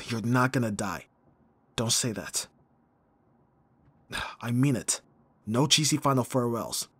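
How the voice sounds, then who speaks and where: A man playing a gruff but tender bodyguard in an ASMR roleplay, speaking in a husky, breathy, halting way with guarded emotion. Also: mid-range voice, a bit bright. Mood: urgent reassurance.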